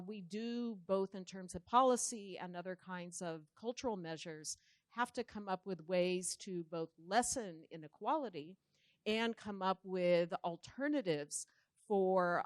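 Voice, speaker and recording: A woman's voice: medium pitch, no room sound. Earnest woman speaking on a panel. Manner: earnest, thoughtful, with frequent pauses and fillers. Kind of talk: measured panel discussion.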